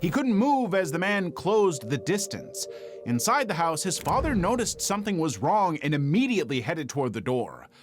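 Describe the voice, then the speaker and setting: A man reading scripted true-crime narration in a measured, dramatic storytelling manner. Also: a little high, very animated, a little hiss or hum.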